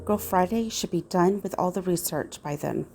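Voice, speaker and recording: A woman's voice: on the low side, normal speed, darkish tone, slight background noise, lightly acted. A woman playing an earnest, suspicious private investigator in an old-time radio style drama. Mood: measured, descriptive. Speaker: investigator in an amateur audio drama.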